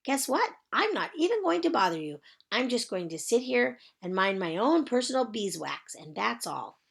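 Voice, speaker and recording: A woman's voice: big pitch swings, moderate pace, vocal fry, fairly bright tone, breathy edge, exaggerated voice. Woman doing a bubbly bossy little girl. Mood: eager chatter. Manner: bubbly, high, sing-song. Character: chatty bossy little girl in princess clothes.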